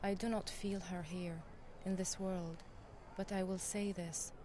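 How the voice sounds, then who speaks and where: An actress voices a mystical female healer from a fantasy game. Her mid-range voice is calm, solemn and formally phrased. Noticeable ambient noise runs behind her. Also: mid-range voice, measured pace, close to an everyday voice. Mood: grave, puzzled.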